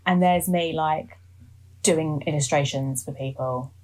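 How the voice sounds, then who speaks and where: A woman's voice: low voice, a little slow, a little mellow, poor audio. A woman in her twenties from England, co-hosting a podcast and chatting casually with playful jokes. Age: 20s. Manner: joking, playful asides. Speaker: podcast co-host. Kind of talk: casual chat.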